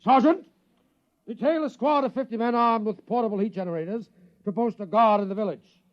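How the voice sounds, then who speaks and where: An actor playing a pompous, impatient imperial inspector with a blustering delivery in a 1950s radio drama. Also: male voice, high voice, a little fast, close to an everyday voice. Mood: barking orders. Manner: barking, blustering, sputtering. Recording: muffled vintage 1950s radio recording.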